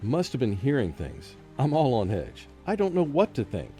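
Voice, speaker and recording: A man playing a frightened college professor in a radio horror drama, with a brooding, halting, dramatic delivery. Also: mid-range voice, wildly swooping pitch, warm tone. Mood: rattled, uneasy. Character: frightened haunted male college professor recounting a curse. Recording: music under the voice.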